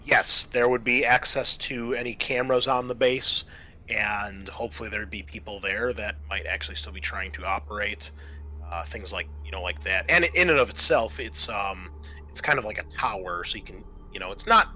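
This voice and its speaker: Male game master, lowish bright voice, conversational explainer of rules, faint noise behind. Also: moderate pace. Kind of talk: tabletop game narration and rules talk.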